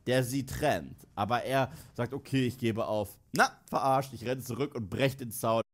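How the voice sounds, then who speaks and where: A man in his thirties delivers a comic film review in a mid-pitched voice. He sounds incredulous and sarcastic, slipping into imagined dialogue as he makes his points. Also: moderate pace.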